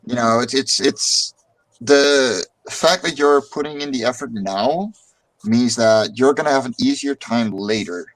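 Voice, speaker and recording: A man's voice: medium pitch, measured pace, fairly bright tone, low-quality recording. A man chatting casually as a streamer and comic artist, who often repeats words as he gets a thought going. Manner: rambling, repeats words when starting a thought. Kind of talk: casual chat.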